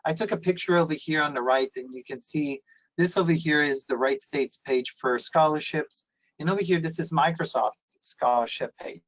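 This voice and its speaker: A man presents on a video call, his voice sitting a little high for a man. He explains things patiently, with plenty of fillers and restarts along the way. The sound is dry and close, with no room echo. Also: normal speed, fairly bright tone. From Italy. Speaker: university presenter. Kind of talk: webinar presentation.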